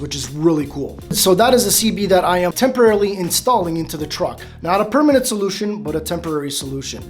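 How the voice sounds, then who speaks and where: A man in his thirties casually explaining a truck radio install to camera, rambling with restarts and small laughs. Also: sits high. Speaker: vlogger. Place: dim room at home, bike behind. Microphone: camera close up, facing it.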